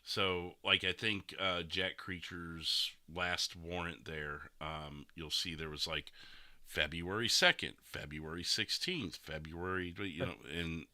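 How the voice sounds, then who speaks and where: A man's voice: deep voice, slow, bright, forceful tone, no room sound. A man hosting a gaming podcast, chatting casually as a streamer about roleplay. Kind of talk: casual podcast chat over replayed gameplay.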